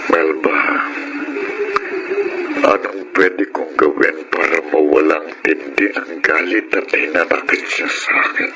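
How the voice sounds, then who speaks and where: A man's voice: a bass voice, steady tone, unhurried, a crowd in the background, poor audio. A man playing a remorseful, tender father in a Filipino radio drama, speaking earnestly and pleadingly. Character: remorseful, tender father longing for family reconciliation. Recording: music bed under the dialogue.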